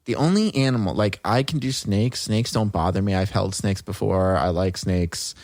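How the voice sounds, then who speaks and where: A man's voice: fairly low pitch, normal speed, darkish tone, dry recording, clean studio sound. A man co-hosting a podcast in laid-back casual chat, rambling through stories and often saying "like". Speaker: podcast co-host.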